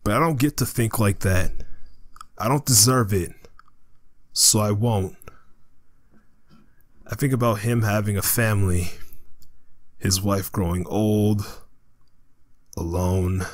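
Man acting a slow, low-voiced, haunted criminal. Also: no echo. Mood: somber, remorseful. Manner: halting, grave, measured. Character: weary, remorseful male drug dealer haunted by killing. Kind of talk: audio drama acting.

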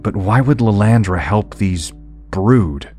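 A man playing a stunned young hero leader who speaks haltingly in an audio drama. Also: fairly low pitch, lively intonation, dark tone, faint music behind. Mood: shaken. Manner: halting, disbelieving speech.

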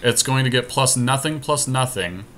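A man's voice: medium pitch, moderate pace, fairly bright tone. A man giving casual, slangy commentary on a game, thinking aloud as he goes. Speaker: podcast host playing a card game. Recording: clear background noise.

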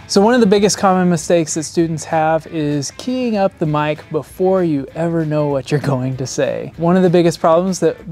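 Mellow, higher-pitched man explaining things plainly. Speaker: flight instructor. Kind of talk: scripted instructional presentation to camera. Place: indoor studio set, seated. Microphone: lapel mic.

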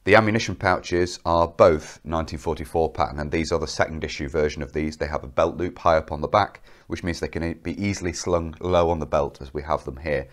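A man's voice: extremely low pitch, fairly even intonation, fairly quick, few pauses. A young man with a cold presenting military kit to camera in a studio, explaining each piece in a methodical, detailed way. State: has a cold.

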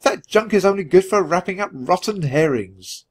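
A man voicing a nervous, excitable male mouse editor in a storybook, indignant and squeaky. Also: normal speed.

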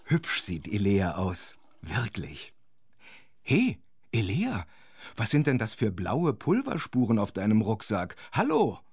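Deep-voiced, animated male storyteller. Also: breathy edge. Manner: playful, conspiratorial asides to the listener. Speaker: narrator. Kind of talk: scripted children's story narration.

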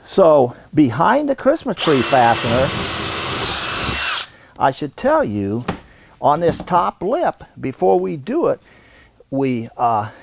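An American man in his sixties giving an unscripted instructional demonstration in a halting, folksy way. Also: a little high, creaky, darkish tone, a little hiss or hum. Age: 60s. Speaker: radon supply business owner and instructor. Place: indoor warehouse.

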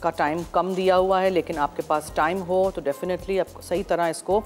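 A woman's voice: on the low side, steady tone, normal speed, a little mellow, soft background music. A middle-aged woman with a Pakistani accent hosts a TV cooking show from a studio kitchen, mixing Urdu with English as she cooks. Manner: chatty, switches freely into English.

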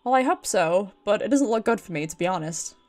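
A woman's voice: medium pitch, animated, brisk, soft background music. A British woman doing casual, chatty game stream commentary, swearing freely and reacting aloud as she plays.

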